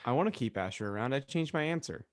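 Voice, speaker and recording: A man's voice: mid-range voice, animated, fast. A man co-hosting a podcast with casual, mock-serious comedic banter. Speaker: podcast co-host.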